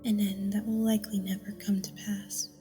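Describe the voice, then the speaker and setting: A mellow, sorrowful undead filly acted by a woman speaks with solemn, hushed regret in a horror audio drama. Long reverb surrounds the voice, and music plays beneath it. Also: mid-range voice, level tone, moderate pace, close to an everyday voice. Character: sorrowful undead young filly, ghostly and resigned.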